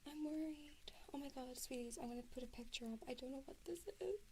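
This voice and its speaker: A woman's voice: high voice, very fast, noisy background. A young woman whispering to viewers on her ASMR live stream, anxious and flustered, swearing and repeating herself.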